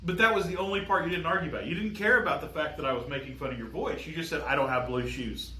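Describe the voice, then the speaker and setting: A man's voice: fairly high pitch, fast, sharp tone. A man co-hosting a podcast in casual banter, teasing and laughing often. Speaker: podcast co-host. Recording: echoey room with faint noise.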